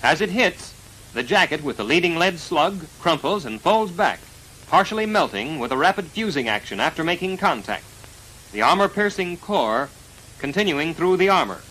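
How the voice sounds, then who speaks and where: An American man narrating a 1940s military instructional film in a formal, precise, measured delivery, on a vintage film soundtrack. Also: a little high, big pitch swings, sharp tone, slight background noise, rough recording. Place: voice-over recorded for a film.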